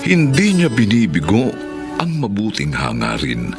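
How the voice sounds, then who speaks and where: A man with a Filipino accent narrating a radio drama and its credits in a solemn, measured way. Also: lowish voice, huge pitch swings. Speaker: narrator and announcer. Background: loud guitar music.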